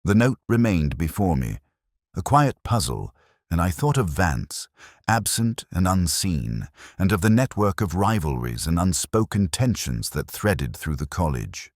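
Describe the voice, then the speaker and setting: A man reading a scripted first-person detective story in a measured, reflective, literary manner. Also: low voice, big pitch swings, no echo, clean studio sound. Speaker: audiobook-style story narrator.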